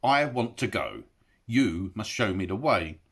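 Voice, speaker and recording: A man voicing a small, polite but impatient male hobbit who speaks briskly and firmly. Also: fairly low pitch, animated, a bit bright, slightly rough, voice pushed up, heavily acted. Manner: brisk, firm, insistent. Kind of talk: audiobook character voice.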